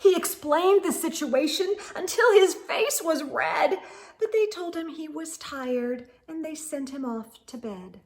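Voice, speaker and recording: A woman reading a picture book aloud to children in an animated, dramatic way, with hushed asides to her listeners. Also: high voice, a little slow.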